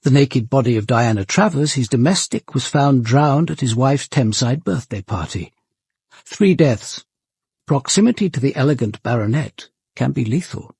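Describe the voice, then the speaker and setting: Senior British police detective, husky and grainy, lively intonation, grave, authoritative, articulate, radio drama. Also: male voice, medium pitch, dry recording, heavily acted. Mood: measured, reflective. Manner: measured, articulate, dry understatement. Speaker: lead actor and narrator. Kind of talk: radio drama acting and narration.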